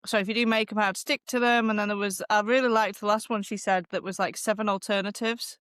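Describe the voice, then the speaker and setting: A woman's voice: mid-range voice, steady tone, fast talker, a bit bright, clear, resonant tone, no echo. Brief: woman, West Midlands accent, podcast co-host, giggly and rambling, dry close sound. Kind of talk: casual podcast chat.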